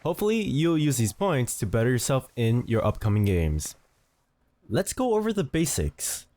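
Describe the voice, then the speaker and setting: Man narrating a tutorial, lively pitch, dry. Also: no room sound. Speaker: tutorial host. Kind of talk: scripted instructional narration.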